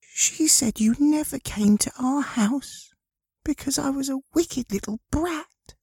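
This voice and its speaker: A woman voicing a small, anxious five-year-old boy in a story, timid and halting. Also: sits high, mellow, no echo. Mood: timid, tearful. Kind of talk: audiobook character dialogue.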